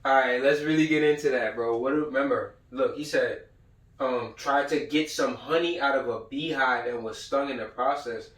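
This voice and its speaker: A man's voice: medium pitch, moderate pace, fairly bright tone. Echoey, distant man lecturing bluntly in slang. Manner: blunt, profane, slangy, repeats himself for emphasis. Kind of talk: informal lecture with read-aloud passages and commentary. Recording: roomy echo, far from the mic.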